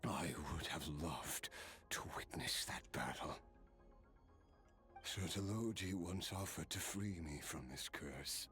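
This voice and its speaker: An actor playing a weary, pain-wracked immortal warrior in a video game, speaking slowly in a hushed, half-whispered voice. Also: male voice, low voice, lively intonation, faint music behind, heavily acted. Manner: slow hushed whispering speech.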